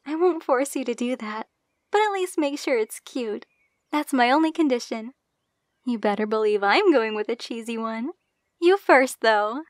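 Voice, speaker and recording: A woman's voice: a little high, expressive, normal speed, dry recording, clean studio sound, close to an everyday voice. A woman playing an affectionate, playful young bride in an intimate ASMR roleplay, speaking tenderly with a giggly, teasing manner.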